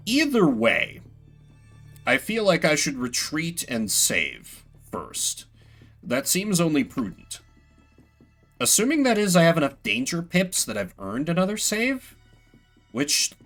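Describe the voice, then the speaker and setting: Guy streaming a game, thinks out loud, jokey, voice goes up n down a lot, music behind. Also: medium pitch. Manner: wry, joking, thinking aloud. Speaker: streamer playing and voicing a video game. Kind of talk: casual game commentary.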